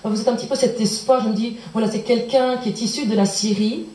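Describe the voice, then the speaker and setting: A woman's voice: fairly high pitch, steady tone, fast, warm tone. A woman from Belgium, asking an earnest, searching question from the audience at a public lecture and circling back on her words. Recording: echoey conference hall.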